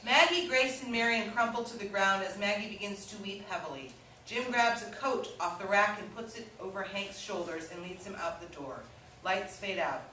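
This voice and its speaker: A woman's voice: medium pitch, normal speed, sharp tone, distant mic. A woman reading scripted stage directions as the narrator of a staged play reading. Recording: echoey room from afar, faint noise.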